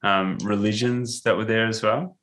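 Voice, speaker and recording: A man hosting a podcast interview, asking questions in a halting way with many fillers. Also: fairly low pitch, steady tone, a bit bright. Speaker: podcast host.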